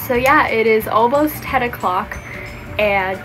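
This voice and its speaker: A woman's voice: a little high, a little slow, low-quality recording. A teenage girl talks into her phone's front camera with a stuffy, congested voice from a cold, and she sounds a little tired. She chats eagerly, with plenty of ums, while music plays behind her. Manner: chatty, excitable, frequent ums. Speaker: aquarium vlogger. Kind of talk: casual vlog chat. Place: bedroom and home at night, indoors. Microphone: phone front camera at arm's length.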